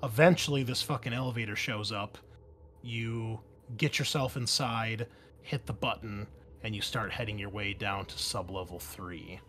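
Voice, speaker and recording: A man's voice: lowish voice, steady tone, moderate pace, fairly bright tone, slight background noise. A man running a tabletop roleplaying game, narrating scenes and explaining rules to players in a casual, informal way.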